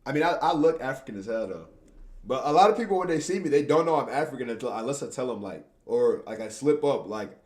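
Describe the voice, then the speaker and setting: A man's voice: mid-range voice, expressive, fast talker, darkish tone. Slangy young man streaming casually. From Tanzania. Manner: informal, repeats phrases for emphasis. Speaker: streamer and video creator. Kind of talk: casual livestream chat, slangy.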